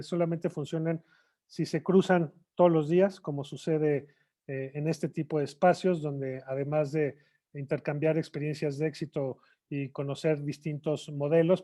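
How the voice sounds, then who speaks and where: A man delivers closing remarks in a mellow, dark tone, pitched a little high for a man. He pauses on fillers and repeats words while searching for phrasing. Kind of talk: closing speech at a webinar.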